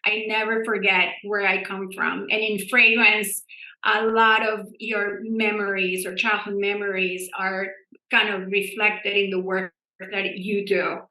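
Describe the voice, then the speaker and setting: A woman from Colombia, speaking as a perfumer on a panel, upbeat and expressive. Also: mid-range voice, a little slow, reverberant, rough recording. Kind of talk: panel discussion answer.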